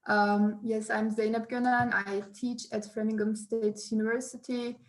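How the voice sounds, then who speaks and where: A woman from Turkey, a sociology professor speaking on an online panel, thoughtful and halting with frequent restarts. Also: on the high side, little pitch movement, rough recording.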